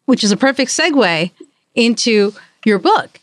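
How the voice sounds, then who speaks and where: An attentive woman hosts an interview and gently guides it with questions. She backs her guest with steady murmurs of agreement. Her voice sits a little high and sounds close and dry. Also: a little slow, dry recording. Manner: attentive, steady affirming murmurs while listening. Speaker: interview host. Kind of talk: interview conversation.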